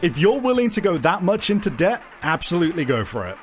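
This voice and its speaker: A man's voice: high voice, very animated, quick pace. Man narrating a tabletop game over music. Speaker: game master and podcast host. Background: background music.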